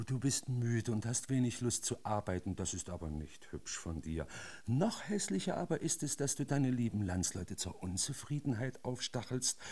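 An actor in a German radio drama playing a menacing, falsely kindly marshal who lectures sardonically, with a husky voice. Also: male voice, medium pitch, darkish tone. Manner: mock-paternal, sardonic lecturing with sudden barked commands.

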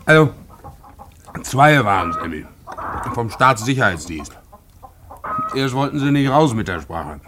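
Background noise sits behind a middle-aged pub landlord in an old radio play. He is gruff and grumbling, a family man who takes his time, and his intonation moves up and down a lot. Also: medium pitch, big pitch swings, a little slow, long pauses. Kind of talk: German radio drama acting.

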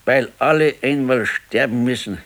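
An actor playing a brooding, stubborn old working-class pensioner and husband with a Bavarian accent in a radio play. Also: male voice, medium pitch, fairly bright tone, lightly acted. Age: older. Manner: slow, ruminating, stubborn. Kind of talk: 1970s naturalistic dialect radio play.

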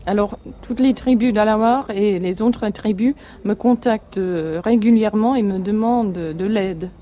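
A woman's voice: medium pitch, moderate pace, mellow, a little hiss or hum. A woman interpreting an interviewee's answers into French, plainly and in the first person.

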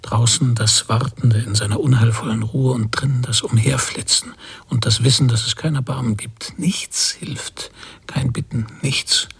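Anxious brooding emigrant guy, radio play monologue, really breathy and creaky, halting, almost whispery. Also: mid-range voice, fairly even intonation. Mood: fearful, hushed. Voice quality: husky, breathy, often near whisper. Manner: halting, introspective, short fragments. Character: anxious, brooding emigrant husband haunted by memories. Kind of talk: literary radio drama monologue.

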